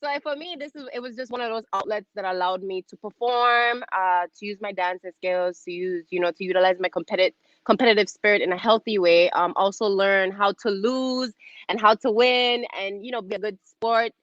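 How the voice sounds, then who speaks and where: A young woman from St. Croix with a Crucian accent, chatting lively as an interview guest over a video call. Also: medium pitch, brisk, no echo. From US Virgin Islands, St. Croix. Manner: lively, laughs often, says "listen". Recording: dull lo-fi call audio.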